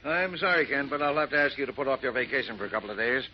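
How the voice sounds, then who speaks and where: An actor playing a brisk, impatient, blunt newspaper editor in an old radio drama. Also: male voice, mid-range voice, lively intonation, lightly acted. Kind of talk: 1940s radio acting. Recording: muffled, hissy old radio recording.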